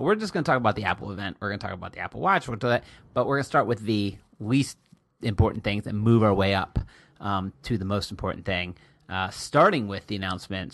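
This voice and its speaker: A man hosting a casual podcast, his booming voice rising and falling in lively swings. He talks close to the mic in a dry, dead room, chatty and teasing. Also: dry recording. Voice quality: loud booming voice. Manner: chatty and teasing, quick asides. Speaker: podcast host. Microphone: close podcast mic, pops.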